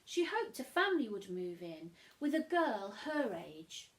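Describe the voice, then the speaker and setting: A woman reading a rhyming children's story aloud, as an expressive storyteller. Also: mid-range voice, measured pace.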